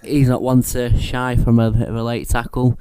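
A man's voice: medium pitch, flat delivery, moderate pace, dark tone, smooth, no room sound. A man giving rugby league opinions in casual chat, opinionated with small restarts.

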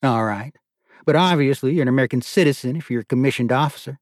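A man voicing an authoritative middle-aged army colonel who talks in a commanding, booming way. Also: fast, no echo, studio quality, lightly acted. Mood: stern.

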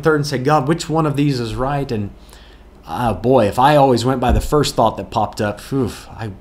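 A man answering viewer questions as a pastor, talking through Bible verses in a plain, earnest way, with chuckles and restarts. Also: mid-range voice. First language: English, not Afrikaans. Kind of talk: casual Bible Q&A teaching.

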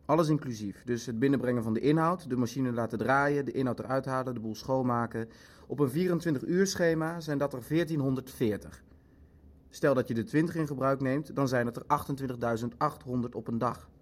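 Guy doing a calculating nazi official in an audio drama, clinical, flat facts, medium male pitch. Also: fairly quick, close to an everyday voice. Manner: clinical, matter-of-fact. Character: pragmatic, calculating Nazi official working through logistics. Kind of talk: Dutch radio play dialogue.

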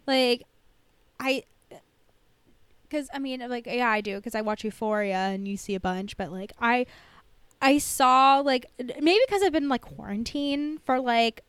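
A woman's voice: on the high side, measured pace, a bit bright, clean tone, no room sound. A woman co-hosting a podcast in casual chat about a TV show, informal and sweary, saying "like" constantly. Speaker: podcast co-host.

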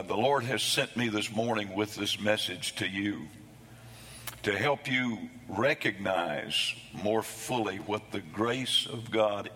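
A man preaching a sermon, his voice hoarse from losing it between services, with a folksy, self-deprecating humor. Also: fairly low pitch, pushed and bright, in a large hall. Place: church sanctuary.

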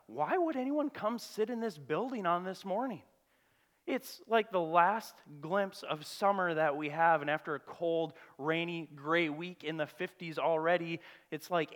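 Male pastor, voice going hoarse and cracking, preaching into a mic in a reverberant sanctuary. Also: medium pitch. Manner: prays softly and slowly, then preaches with rising energy and questions. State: losing his voice, cracking. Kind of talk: sermon with spoken prayer. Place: church sanctuary. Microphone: church PA microphone. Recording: long hall reverb.

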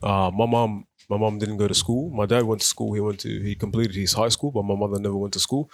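Young man, low voice, from South Sudan, radio guest, thoughtful, restarts often, dry studio sound. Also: steady tone, a little fast, no echo. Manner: thoughtful, with frequent fillers and restarts. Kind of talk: radio interview, personal story.

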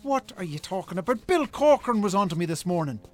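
Angry Irish brother, raised pitch, scornful, sarcastic, scolding, sweary, radio drama. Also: male voice, sing-song, quick pace, soft background music. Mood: furious. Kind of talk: naturalistic radio drama acting.

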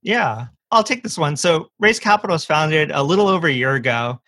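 A man's voice: a little high, expressive, fairly quick, pushed and bright, no echo, clean studio sound. A man speaking as an interview guest, explaining his work as an investor in an enthusiastic, emphatic, conversational way. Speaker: venture capital partner, interview guest. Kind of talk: conversational self-introduction and explanation.

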